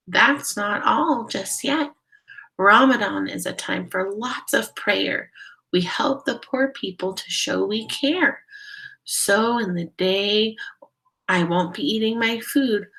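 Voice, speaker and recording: A woman's voice: medium pitch, takes it slowly, creaky, sharp tone, full character voice, low-quality recording. A woman voicing an earnest young schoolgirl in a storybook, reading out notes and verse in a sincere, sing-song way. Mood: earnest, proud.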